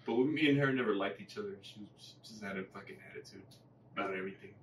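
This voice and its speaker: Male podcast co-host, lowish voice, blunt profane banter, recorded far from the mic in a roomy space. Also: fast, fairly bright tone. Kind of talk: casual chat. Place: echoey room, away from the mic. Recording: distant mics, echoey room, faint noise.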